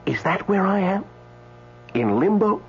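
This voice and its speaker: A man's voice: sits high, sing-song, heavily acted. An indignant dead man, wildly swooping voice. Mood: hesitant, bewildered. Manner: hesitant, stammering, pleading, dramatic pauses. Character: indignant, newly dead man stranded in limbo. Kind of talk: radio drama acting with first-person narration. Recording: dull radio broadcast, faint mains hum.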